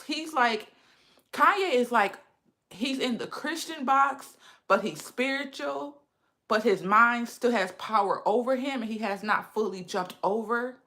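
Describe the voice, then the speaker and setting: A woman's voice: a little high, sharp tone. A woman in her thirties with a lisp, chatting casually with viewers on a livestream. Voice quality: says she has a lisp. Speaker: livestream host.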